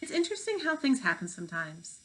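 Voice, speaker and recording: A woman's voice: high-pitched, very animated, quick pace, a bit bright, pitched above the performer's own voice. A woman reading the part of a philosophical fifth-grade boy in a thoughtful, precise manner.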